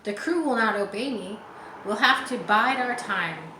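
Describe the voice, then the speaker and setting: A woman voicing a steady, cautious male sea captain in a storybook, giving measured, grave instructions. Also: fairly high pitch. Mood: resolute. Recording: echoey room with faint background noise.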